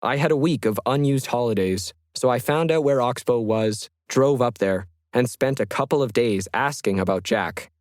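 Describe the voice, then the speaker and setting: A man's voice: on the low side, no room sound, natural voice. Man reading as a reflective, solitary radio hobbyist, dry sound. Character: solitary, methodical 1950s ham radio hobbyist writing his journal. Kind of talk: scripted first-person journal narration.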